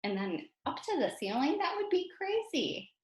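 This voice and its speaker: An American woman reading poems aloud to children in an expressive way, speaking directly to her young listeners. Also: sits high, moderate pace. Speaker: children's poem reader and teacher. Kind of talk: animated read-aloud for children. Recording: roomy, echoey sound.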